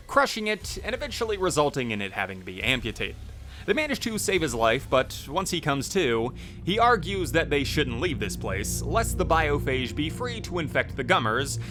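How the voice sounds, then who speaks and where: A man giving scripted narration as a video host. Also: mid-range voice. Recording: music playing behind the voice. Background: loud music.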